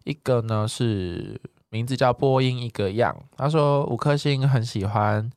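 A talkative, expressive man co-hosting a podcast, chatting casually as he replies to listener comments. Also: medium pitch, measured pace, clean tone, no echo, studio quality. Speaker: podcast co-host.

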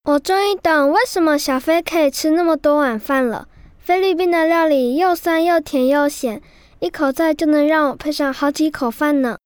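A woman's voice: sits high, moderate pace, no echo, clean studio sound, natural voice. A woman playing a polite, curious, easily amazed schoolchild in an educational radio drama.

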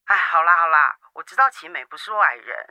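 A woman's voice: low-pitched, expressive, a little fast, pushed and bright, no room sound, exaggerated voice. A woman acting a busy married daughter on the phone in a radio drama, coaxing her mother and making excuses. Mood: wheedling. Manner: wheedling and excuse-making, suspicious of others. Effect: phone call.

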